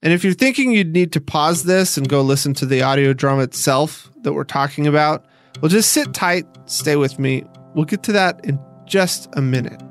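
A man hosting a podcast, reading scripted narration in a measured way with deliberate pauses and emphasis. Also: a little high. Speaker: podcast host and interviewer. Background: music.

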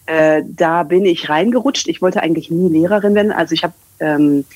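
A woman's voice: fairly low pitch, brisk, a little mellow, dry recording. A woman in her thirties, a communication coach and English trainer, talking in a casual, rambling interview with many fillers.

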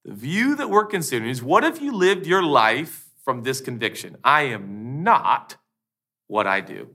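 A male pastor preaching an emphatic, animated sermon from a church stage, with quick asides and repetition. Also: fairly high pitch.

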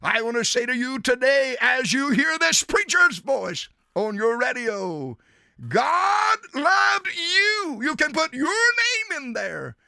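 High, gravelly shouting preacher man. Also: very animated, a little slow, hardly any pauses, no room sound, clean studio sound. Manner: impassioned, often shouting, stretching out key words; repeats appeals like "dear sinner" and "my friend". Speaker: radio preacher. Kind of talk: evangelistic sermon.

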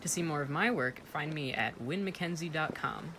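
Low-voiced woman presenting podcast credits. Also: animated, moderate pace, fairly bright tone, a little hiss or hum. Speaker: co-writer and presenter of the show. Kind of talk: scripted podcast closing credits.

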